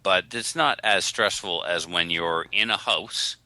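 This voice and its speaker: Male co-host, conversational, low-pitched with a very forceful edge, close dry recording. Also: measured pace, dry recording. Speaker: podcast co-host. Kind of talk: casual chat.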